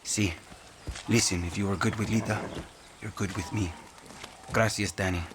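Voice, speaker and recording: Man playing a low-voiced, gruff guerrilla fighter. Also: steady tone, moderate pace, noisy background, close to an everyday voice. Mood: grudging respect. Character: gruff male guerrilla fighter, grudgingly respectful comrade. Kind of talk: video game voice acting. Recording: loud music behind.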